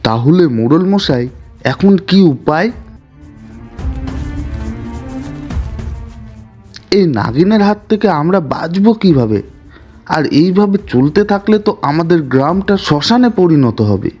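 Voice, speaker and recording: A man's voice: a little high, big pitch swings, normal speed, darkish tone, muffled recording, faint music behind. A man playing a cautious, anxious villager in a cartoon story, earnest and a little bossy. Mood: anxious, questioning. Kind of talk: Bengali cartoon story voice acting.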